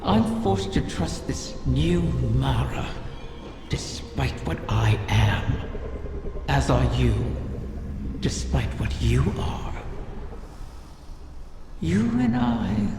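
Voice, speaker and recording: An actor voicing a sly, ancient wish-dragon in a video game, speaking deliberately and cryptically with a menacing grandeur. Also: medium pitch, very animated, gravelly, exaggerated voice. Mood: sly, brooding. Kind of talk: scripted game dialogue. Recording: long echoing reverb under music.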